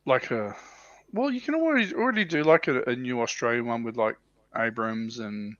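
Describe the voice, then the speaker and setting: A man's voice: mid-range voice, lively intonation, moderate pace, fairly bright tone, dry recording. A man co-hosting a casual livestream chat, tentative and thinking aloud, on a slightly dull, dry recording. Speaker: livestream co-host on tabletop wargaming show.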